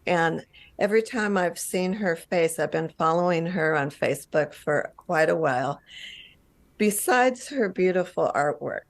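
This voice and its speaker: A woman hosting an interview over a video call, introducing her guest in short, halting, gushing phrases. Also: fairly low pitch. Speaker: interview host. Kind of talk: spoken guest introduction and questions.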